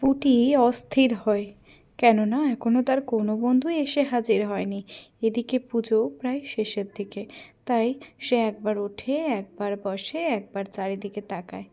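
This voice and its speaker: A woman's voice: mid-range voice, steady tone, normal speed, mellow. A woman storyteller reading scripted narration for a children's story.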